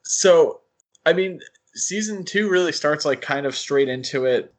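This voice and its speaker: A man co-hosting a podcast, discussing things casually in a halting, hedging way. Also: fairly high pitch, lively intonation. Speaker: podcast co-host.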